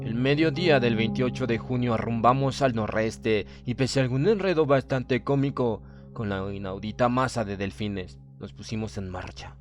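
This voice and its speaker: A man's voice: medium pitch, normal speed, few pauses. Man narrating horror over music. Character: stern, proud German submarine officer recounting events. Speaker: audiobook narrator. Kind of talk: dramatic scripted narration of a horror story.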